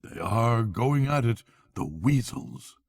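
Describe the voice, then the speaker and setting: A steady badger leader from a bedtime storybook, read by a man. He is placid and firm, speaking unhurriedly and giving orders with authority. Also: mid-range voice, slow pace, vocal fry, husky, full character voice. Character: placid, commanding male badger, gruff leader.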